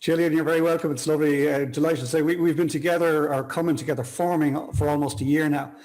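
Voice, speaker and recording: Male talk show host, fairly high voice, effusive and complimentary in casual conversation. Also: steady tone, fast talker, darkish tone. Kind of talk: casual online interview chat.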